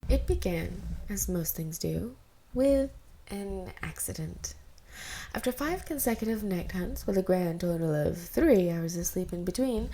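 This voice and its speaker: Mellow, low-voiced woman reading fan fiction. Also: expressive, unhurried, slight background noise, poor audio. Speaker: amateur podfic reader. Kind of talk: casual intro and fan fiction narration.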